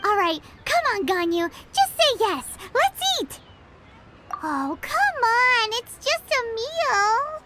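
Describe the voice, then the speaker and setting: An actress voicing a tiny childlike fairy companion in a video game, squeaky, chirpy and pushy. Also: female voice, very animated, takes it slowly, heavy background noise, full character voice. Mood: coaxing, pushy. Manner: squeaky, whiny, exclaiming. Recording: loud music under the voice.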